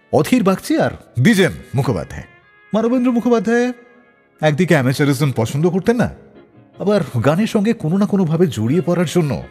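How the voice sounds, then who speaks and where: A man's voice: on the high side, a little mellow, breathy edge. A man hosting a radio show, telling stories between songs with dramatic phrasing. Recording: long reverb, slightly blurred voice, faint music. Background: faint music.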